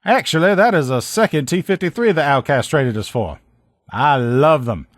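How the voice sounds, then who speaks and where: A man's voice: on the high side, animated, normal speed, no echo, lightly acted. A man voices a loyal, upbeat army lieutenant who fixes armor, written for a video game. He talks like a plain-spoken, folksy soldier, informal and sure of himself. The sound is dry, with no room around the voice. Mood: brisk, assured. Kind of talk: video game character dialogue.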